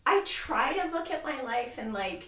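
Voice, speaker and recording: A woman's voice: high voice, expressive, moderate pace, sharp tone, slight background noise. A woman speaking as a guest in a casual interview, reflective and easygoing, laughing easily. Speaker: guest, former educator. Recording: echoey room, far from mic.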